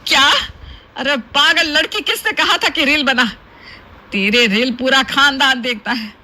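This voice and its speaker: Woman acting a bright-voiced, doting Indian mother-in-law. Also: sits high, a little slow, pitched above the performer's own voice, exaggerated voice, rough recording. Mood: exasperated. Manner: affectionate, exclamatory, chiding. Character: warm, doting middle-aged Indian mother-in-law, playfully scolding. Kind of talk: Hindi cartoon family story acting.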